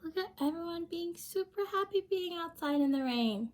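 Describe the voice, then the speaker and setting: A woman's voice: very high-pitched, lively intonation, normal speed. A young woman reading a storybook aloud to children, speaking simply and expressively and prompting her listeners with questions. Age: young adult.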